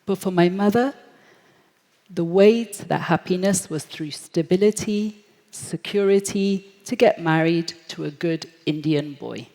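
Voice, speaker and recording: A woman's voice: lowish voice, a little slow. British woman telling stories in an echoey hall. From Britain. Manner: lively storyteller, acts out quoted voices. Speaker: speaker at a forum. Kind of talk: storytelling talk. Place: large hall. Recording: echoey hall, long reverb.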